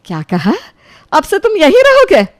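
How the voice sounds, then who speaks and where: A woman's voice: sits high, huge pitch swings, normal speed. A woman voicing a naive older mother-in-law in a comic cartoon, exclamatory and easily puzzled. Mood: delighted. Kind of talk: Hindi comic cartoon acting.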